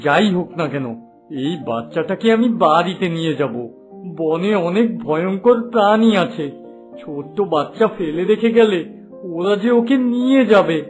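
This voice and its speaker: A man acts out a poor, soft-hearted father in a cartoon fairy tale. His voice sits high for a man and is plaintive and emotive, with faint music behind it. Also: expressive, moderate pace. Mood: concerned, tender.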